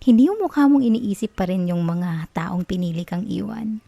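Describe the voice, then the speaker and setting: A woman playing an obsessive, sweetly manipulative young college girlfriend in an ASMR roleplay, with a Filipino accent and a soft, coaxing, cooing manner. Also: mid-range voice, animated, normal speed, creaky, no room sound. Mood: tender, possessive. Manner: coaxing, cooing, giggly reassurance.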